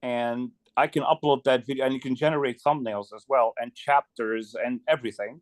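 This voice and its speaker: Halting man in a dry-sounding chat. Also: mid-range voice, fairly even intonation, dry recording. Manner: halting, often repeating words. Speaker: video creator and guest in a community video chat. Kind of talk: casual chat. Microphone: close microphone on a video call.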